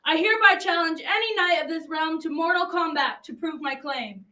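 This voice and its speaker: Young girl playing boastful armored knight, proclaiming, sharp, radio drama, distant echoey recording. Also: extremely high pitch, normal speed. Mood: boastful challenge. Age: child or teen. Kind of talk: school radio drama acting.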